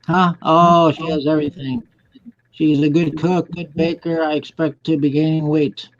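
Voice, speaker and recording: A man's voice: a little high, flat delivery, normal speed, slight background noise. An older man chatting casually on an online group call, speaking hesitantly and repeating himself. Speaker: chat participant. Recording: dull online call audio.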